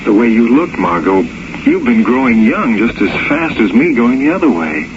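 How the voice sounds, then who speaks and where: Radio romance-mystery role for a male actor: insistent, pleading older admirer, animated pitch, noisy vintage broadcast. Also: moderate pace, close to an everyday voice. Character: lovesick aging man pleading with a beloved. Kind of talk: 1970s radio drama acting. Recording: muffled, noisy old radio recording.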